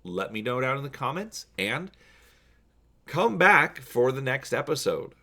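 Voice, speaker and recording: A man's voice: medium pitch, sing-song, a little slow, sharp tone. A man hosting a story read-along, addressing viewers directly in a casual, emphatic way and reading narration aloud. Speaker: host reading a choose-your-own-adventure story.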